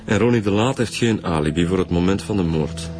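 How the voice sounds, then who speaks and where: An actor playing a level-headed police detective and second interrogator in a Flemish radio drama. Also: male voice, low voice, fairly quick, over music, close to an everyday voice. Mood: matter-of-fact. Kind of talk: naturalistic radio drama acting.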